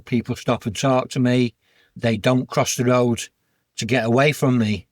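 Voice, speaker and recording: A man's voice: mid-range voice, steady tone, a little slow, a little mellow, dry recording. Man, Yorkshire accent, mid-range voice, earnest and plain-spoken, dry close recording. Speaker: podcast guest. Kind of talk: candid personal interview.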